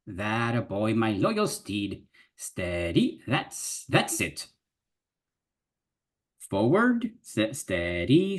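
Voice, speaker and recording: A gallant little fox knight speaks in a courtly, old-fashioned way, as a man acts him out. His pitch leaps high and drops low within a phrase, and he takes his time. It is a fan reading of a fantasy radio play. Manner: archaic, chivalrous speech with big pitch leaps. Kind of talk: live dramatic reading.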